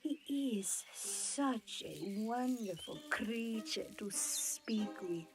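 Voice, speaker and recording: Casting: melodramatic woodland lady female actor, unhurried and grandiose, dreamy, doom-laden storybook delivery. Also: a little high, expressive, exaggerated voice. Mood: dreamy admiration. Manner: theatrical, grandiose, drawn-out phrasing. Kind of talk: audio drama storybook acting.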